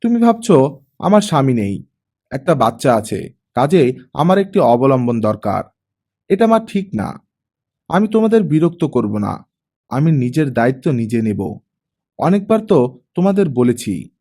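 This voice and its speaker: A man's voice: mid-range voice, big pitch swings, unhurried, long pauses, warm tone. A man voicing a firm, defensive, independent young widowed mother in an audiobook story. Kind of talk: audiobook character reading.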